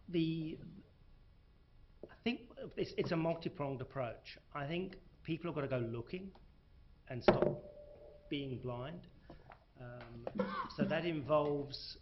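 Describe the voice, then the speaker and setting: A man from South Africa makes his case on a panel, emphatic and full of restarts. The recording is muffled and lo-fi, with a faint hiss underneath. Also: a little high. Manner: emphatic, restarts, hesitant fillers. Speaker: panel speaker, corporate reporting. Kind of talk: panel discussion.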